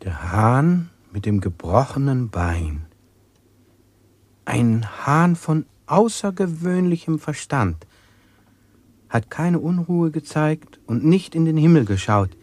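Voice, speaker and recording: A humble, earnest man in a 1980s radio play. His voice is slightly high, and he talks very slowly and deliberately. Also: animated, a little mellow, no echo. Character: humble man who wants a new name. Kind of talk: 1980s radio drama acting.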